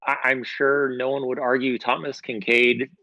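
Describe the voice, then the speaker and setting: A man's voice: medium pitch, level tone, moderate pace, pushed and bright, no room sound, lo-fi sound. A man, a podcast host, telling a rambling anecdote in casual chat, swearing freely.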